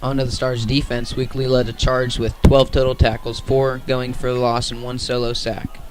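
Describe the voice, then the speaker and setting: American man calling sports with little pitch variation. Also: level tone, normal speed, low-quality recording. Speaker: play-by-play commentator. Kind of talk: radio sports commentary.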